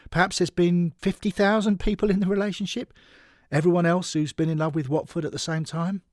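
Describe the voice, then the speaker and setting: A man's voice: high voice, flat delivery, brisk, no echo, clean studio sound, lightly acted. A man voicing a wry, affectionate male football fan who teases his devoted partner in an audio story. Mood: reflective. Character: teasing, affectionate man, half of a devoted couple.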